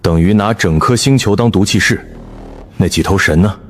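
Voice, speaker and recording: A man voicing a sharp, probing teammate who questions things in an animated survival drama. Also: on the low side, normal speed, lightly acted.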